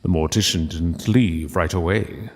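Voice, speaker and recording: A man reading a literary story as narrator, solemn and deliberate, with dramatic pauses. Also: low voice, big-room sound.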